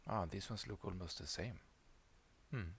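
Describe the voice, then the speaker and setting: A man's voice: low-pitched, normal speed, a little hiss or hum. A man hosting a gaming video with casual let's play commentary, thinking aloud as he plays. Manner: thinks aloud while playing.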